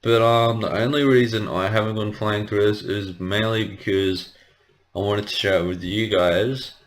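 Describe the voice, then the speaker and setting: A man giving a casual, rambling let's play commentary, hesitant and often correcting himself as he explains. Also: low voice. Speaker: gaming video host.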